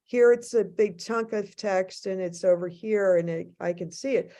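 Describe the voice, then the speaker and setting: A woman's voice: mid-range voice, moderate pace, dark tone. A woman speaking conversationally as a panelist in a casual discussion on a video call. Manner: conversational, light laughter.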